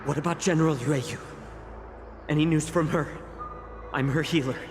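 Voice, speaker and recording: Male actor as a devoted young-sounding healer for a game, lighter high register, measured delivery, echoing reverb. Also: steady tone, slight background noise, close to an everyday voice. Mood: worried. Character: devoted, gentle male fox-folk healer. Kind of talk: dramatic video game acting. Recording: long reverberant echo.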